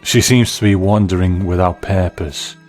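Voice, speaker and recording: A man's voice: low voice, steady tone, moderate pace, a little mellow, soft background music. A man narrating a scripted video essay as a reviewer of film and television.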